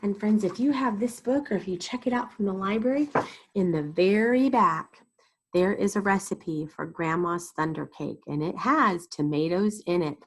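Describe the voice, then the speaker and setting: A woman's voice: medium pitch, normal speed, slightly rough. A woman storyteller reading a picture book aloud expressively and dramatically for young children. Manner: dramatic, explains to young listeners.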